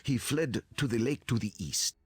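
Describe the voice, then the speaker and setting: A man's voice: mid-range voice, expressive, moderate pace, a little mellow, husky, no echo. An actor playing a pleading medieval townsman in a game, urgent and dramatic.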